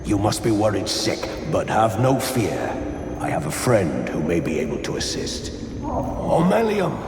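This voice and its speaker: Deep-voiced, animated dark elf scholar. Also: male voice, a little slow. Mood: amazed, reassuring. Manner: earnest, courteous. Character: earnest, idealistic dark elf scholar, gentle and curious. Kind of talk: video game voice acting. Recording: echoey cavern reverb, music behind.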